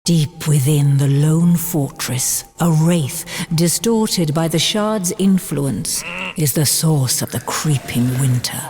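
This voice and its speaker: Low-pitched woman, grave fantasy game narration. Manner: grave, portentous storytelling delivery.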